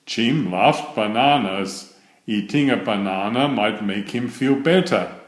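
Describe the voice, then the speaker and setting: A man narrating children's picture books as a storyteller, in an expressive, measured read-aloud style. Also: lowish voice, echoey room.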